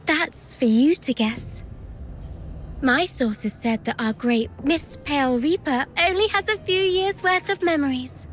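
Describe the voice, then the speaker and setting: A woman voicing a treacherous young villainess who taunts sarcastically and gleefully at a slow, theatrical pace. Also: sits high, animated. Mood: mocking. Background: faint music.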